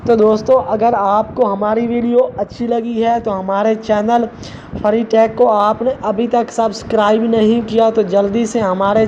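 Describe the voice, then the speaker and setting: A man with a high, feminine-sounding voice, narrating a step-by-step app tutorial as its host. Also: mellow. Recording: dull lo-fi sound, faint background noise.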